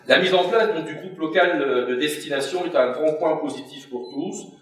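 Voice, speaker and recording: A man's voice: a little high, normal speed, fairly bright tone. A man delivering a prepared, formal statement. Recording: very echoey room, distant mic.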